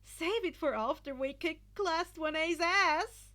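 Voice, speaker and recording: A woman's voice: very high-pitched, takes it slowly, pushed and bright, voice pushed up, heavily acted. A woman voicing a cocky teenage boy in an anime fan story, smug and lightheartedly teasing.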